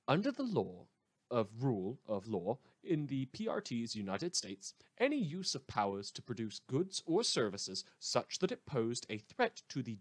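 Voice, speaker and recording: A man's voice: mid-range voice, no room sound. A man playing a snide, opinionated news radio host, delivering scripted satirical reports in an articulate, mock-earnest manner.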